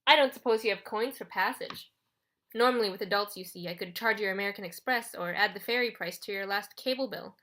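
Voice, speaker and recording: A woman voicing an elegant, sinister male ferryman of the dead in an audiobook, with a British accent and a smooth, condescending drawl. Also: medium pitch, a little fast, fairly bright tone, close to an everyday voice. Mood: dryly amused.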